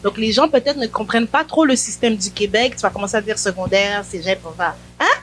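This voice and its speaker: A woman's voice: medium pitch, a little fast, soft background music. A woman hosting a morning faith talk show, inviting her guest and asking questions for viewers. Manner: warm, inviting, interrupts to clarify for viewers. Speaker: host.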